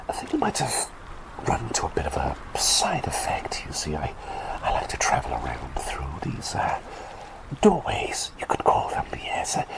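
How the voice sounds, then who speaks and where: A man's voice: very deep voice, gravelly, exaggerated voice, poor audio. A man voicing a quirky, eccentric male plague doctor who has turned into a small talking bird, chatting and rambling in a whispered roleplay. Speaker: ASMR roleplay performer.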